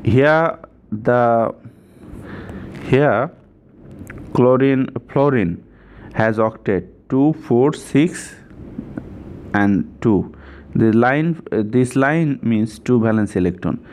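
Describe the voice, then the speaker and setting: Male tutor, mid-pitched, unhurried and repetitive, informal teaching style, slightly noisy home-made recording. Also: animated, darkish tone. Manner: repetitive, often checking with "right?". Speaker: chemistry tutor.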